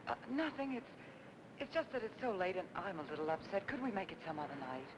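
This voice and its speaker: An actress playing a refined young widow, wary but playful, in 1940s film noir style. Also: female voice, very animated, quick pace, close to an everyday voice. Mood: flustered, uneasy. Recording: noisy old film soundtrack with rumble.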